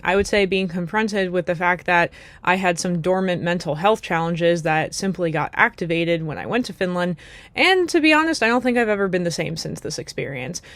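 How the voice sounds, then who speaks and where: A woman's voice: lowish voice, lively intonation, brisk, dry recording. Reflective American woman, dry close sound. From United States. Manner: thoughtful, many fillers and restarts. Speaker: vlogger. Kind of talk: casual reflective monologue.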